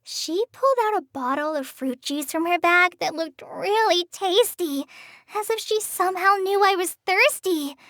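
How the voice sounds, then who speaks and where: A woman's voice: very high-pitched, animated, no room sound, studio quality, exaggerated voice. A woman voicing a shy, earnest teenage girl in a video game, hesitant and apologetic in the way she talks. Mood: bashful. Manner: hesitant, apologetic, soft-hearted, halting with stammers. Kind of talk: video game character voice lines.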